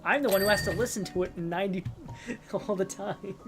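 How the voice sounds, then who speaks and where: A man streaming a game live, chatting casually and musing out loud, with lots of restarts and fillers. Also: high-pitched, normal speed, gravelly. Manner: rambling, reflective, with many restarts and fillers. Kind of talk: casual live-stream chat while gaming. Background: loud game music.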